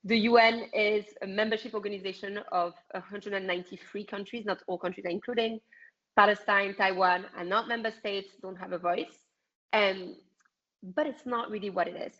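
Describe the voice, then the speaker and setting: A woman with a French accent speaks bluntly and passionately in a mid-range voice, often pausing on ums. Her answers come over a video call with slightly muffled audio. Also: mid-range voice, steady tone, fairly bright tone. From France. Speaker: activist guest. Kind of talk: casual interview answers.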